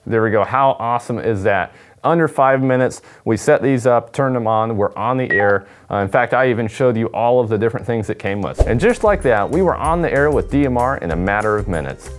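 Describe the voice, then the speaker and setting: A man's voice: mid-range voice, expressive, fairly quick, faint music behind. A man, giving a casual unboxing demonstration as a product presenter. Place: indoor studio at a table.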